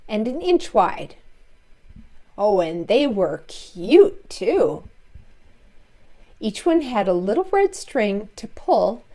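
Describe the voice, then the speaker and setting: A woman reading a children's story aloud expressively, with playful asides to listeners. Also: on the high side. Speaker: storybook read-aloud narrator.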